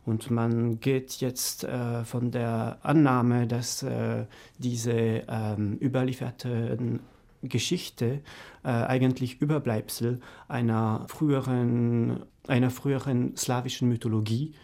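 Slow, halting man from France, dark gravelly voice. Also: mid-range voice, level tone. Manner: halting, many fillers and restarts. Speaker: interview guest, doctoral researcher. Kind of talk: academic interview.

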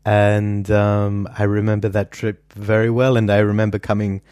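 A man, a historian answering interview questions in a thoughtful, measured way with many pauses. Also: lowish voice, monotone, a little mellow, smooth, no room sound, clean studio sound. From Australia. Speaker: historian guest.

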